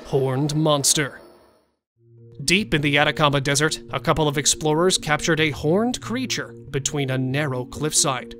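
A man reading a scripted countdown of strange sightings, with dramatic pauses and questions to the viewer. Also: on the high side, pushed and bright, soft background music. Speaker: narrator. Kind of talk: scripted narration.

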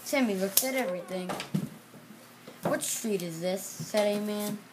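A child reading a children's storybook aloud in a halting way, repeating and correcting words. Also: medium pitch, noisy background. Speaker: reader.

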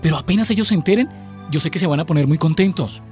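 A man's voice: on the high side, fast talker, a little mellow, soft background music, natural voice. An actor playing a nervous, earnest boyfriend in his twenties in a radio soap, with a Colombian accent. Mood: earnest, respectful. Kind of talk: radio soap opera acting.

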